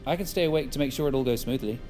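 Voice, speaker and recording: An actor playing a glib, slightly awkward tech specialist in an audio drama, with a casual way of explaining things. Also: male voice, mid-range voice, rapid-fire, a little mellow, soft background music, close to an everyday voice. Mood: determined. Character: glib, slightly awkward techie man, quietly scheming. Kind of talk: naturalistic audio drama acting.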